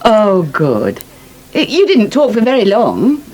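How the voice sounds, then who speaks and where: A woman's voice: medium pitch, wildly swooping pitch, slow pace, a little mellow, heavily acted. An actress playing a fretful, fond middle-aged English farmer's mother in a naturalistic radio soap. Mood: probing, fond. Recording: faint noise, 1980s radio drama.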